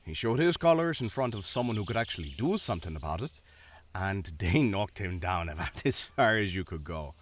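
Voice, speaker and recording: Man playing a wry, very animated townsman. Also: low-pitched, brisk. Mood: wry, easygoing. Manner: playful, sardonic. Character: wry, easygoing male townsman, teasing and unbothered. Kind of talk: fantasy audio roleplay acting.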